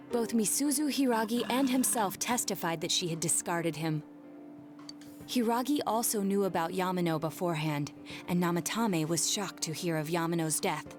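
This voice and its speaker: A woman's voice: lowish voice, close to an everyday voice. A woman playing a composed young detective in a video game, earnest, formal and precise in explaining. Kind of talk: English video game voice acting.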